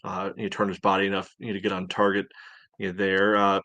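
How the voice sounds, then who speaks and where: A man co-hosting a soccer podcast, breaking down a match in casual, halting talk. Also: low-pitched, completely flat delivery, sharp tone, no echo. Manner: halting, peppers talk with "you know". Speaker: soccer podcast co-host and analyst.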